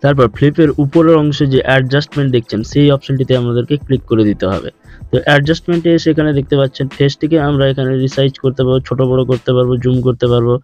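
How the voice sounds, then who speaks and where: A man narrating a step-by-step software tutorial in an instructional way, repeating steps as he goes. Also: mid-range voice, fairly even intonation, rapid-fire. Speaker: tutorial host. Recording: dull lo-fi voice track with music. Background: background music.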